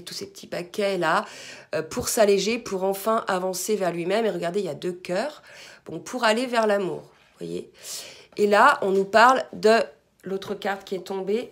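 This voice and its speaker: A hoarse woman giving a spiritual card reading to her viewers, soft-spoken, sometimes murmuring requests to her guides. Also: medium pitch. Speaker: tarot card reader.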